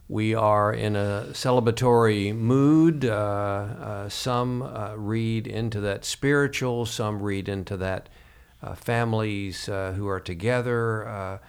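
A man hosting a reflective interview, speaking in a halting, measured way. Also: fairly low pitch, clear, resonant tone. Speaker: podcast host.

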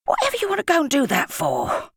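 A woman's voice: sits high, huge pitch swings, fast talker, fairly bright tone, dry recording, studio quality, voice pushed up, heavily acted. A woman voicing a pompous, bossy, self-important middle-aged man in an audiobook story. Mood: breathless, astonished. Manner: breathless spluttering and puffed-up boasting.

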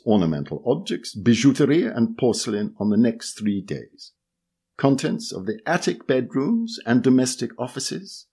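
Husky man reading with lively intonation. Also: medium pitch. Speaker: reader of a magazine article. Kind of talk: measured read-aloud narration.

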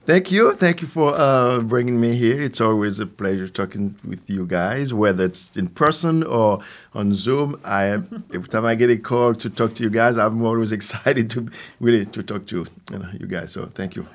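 A man in his sixties with a Haitian accent, answering interview questions conversationally in a halting way with repeated words and asides. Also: on the low side. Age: 60s. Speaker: theater company founder, guest.

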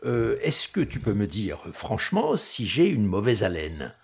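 A man voicing a proud, quick-tempered male lion king who blusters. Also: on the low side, huge pitch swings, creaky, a little mellow, breathy edge, exaggerated voice. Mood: blustering.

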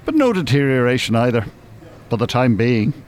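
A man's voice: mid-range voice, very animated, moderate pace, darkish tone, close to an everyday voice. An actor playing an older Irish man, droll and wordy, with mock-pious teasing in a naturalistic radio drama. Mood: resigned.

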